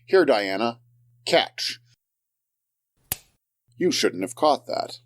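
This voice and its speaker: Precise, mid-pitched analytical scientist. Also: male voice, sing-song, very slow, a little hiss or hum. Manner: precise, explanatory. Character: analytical man of science, calm and bossy. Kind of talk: audio drama acting.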